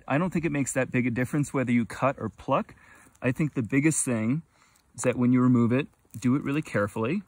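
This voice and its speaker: A young man giving casual, enthusiastic how-to commentary as he forages outdoors in a forest. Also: medium pitch. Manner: enthusiastic, informal. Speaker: mushroom forager and video host.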